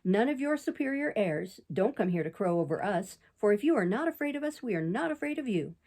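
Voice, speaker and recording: A woman's voice: mid-range voice, very animated, fairly quick, a little mellow. A woman voicing a threatening schoolboy gang leader in a storybook reading, speaking in a taunting, raised voice.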